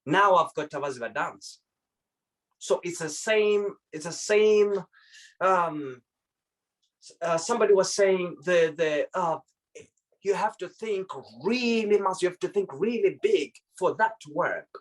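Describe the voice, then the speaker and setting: A man's voice: high voice, a little slow. Zimbabwean man reflecting on a video call. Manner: reflective, repeats words while searching for them. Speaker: panel participant. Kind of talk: casual discussion on a video call.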